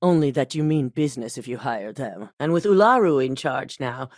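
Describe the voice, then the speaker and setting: A middle-aged actress playing a commanding corporate CEO in a video game, curt and businesslike. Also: female voice, rumbling low, expressive, moderate pace, darkish tone, dry recording. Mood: controlled. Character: cool, commanding woman CEO of a megacorporation. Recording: dry studio recording.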